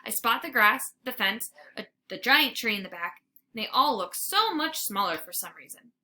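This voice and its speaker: Bright, animated woman reading aloud. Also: on the high side, moderate pace, slight background noise. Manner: animated, theatrical. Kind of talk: expressive children's read-aloud narration.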